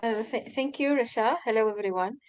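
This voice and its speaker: A woman's voice: on the high side, a little fast, darkish tone, clear, resonant tone. A woman from Tunisia, speaking as a participant in an online meeting and searching for words. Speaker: audience participant, executive trainer. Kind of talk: asking a question in an online meeting.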